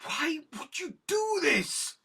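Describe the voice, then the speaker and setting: An actress in an audio drama playing a desperate, pleading British police detective, husky and halting with emotion. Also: female voice, very high voice, sing-song, bright, forceful tone, exaggerated voice.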